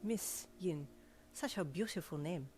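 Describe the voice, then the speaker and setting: Woman putting on a suave, low-voiced French chauffeur. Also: animated, unhurried, a little mellow, a little hiss or hum. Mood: charmed, flattering. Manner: courteous, gallant, teasing. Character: suave French male valet chauffeur, playful and flattering.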